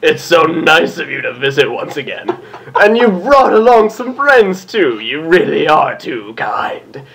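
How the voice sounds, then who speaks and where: A man's voice: a little high, very animated, measured pace, vocal fry, fairly bright tone, heavily acted. A man voicing a sinister male demon pony who is silkily polite and half whispers, in a comic story reading. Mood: falsely sweet.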